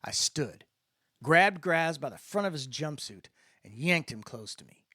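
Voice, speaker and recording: A man's voice: on the high side, very animated, a little slow, pushed and bright, no echo, clean studio sound. A man giving a dramatic first-person narration of a story, as in an audiobook. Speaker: author-narrator reading fiction.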